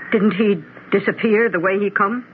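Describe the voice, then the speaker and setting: A woman's voice: medium pitch, fairly even intonation, moderate pace, natural voice. A woman playing a plain-spoken, motherly housekeeper, fretful and folksy, in a 1970s radio drama. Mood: distressed. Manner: folksy, fussing. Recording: noisy, narrowband old radio recording.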